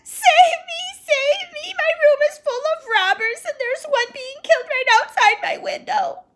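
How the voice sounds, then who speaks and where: A woman voicing a frightened, excitable little girl who shrieks and pleads near tears. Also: normal speed, slight background noise. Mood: terrified. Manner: shrill, breathless, near tears.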